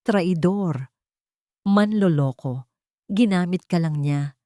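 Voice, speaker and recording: A woman voicing a bitter, haunting inner voice that accuses loudly, in an audiobook drama. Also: lowish voice, takes it slowly, no echo, clean studio sound. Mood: accusing. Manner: loud, accusatory.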